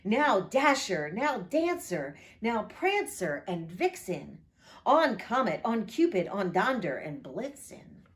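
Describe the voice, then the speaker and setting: Slow, expressive woman pastor reading aloud. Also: mid-range voice. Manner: expressive, lilting read-aloud delivery; friendly direct address to listeners.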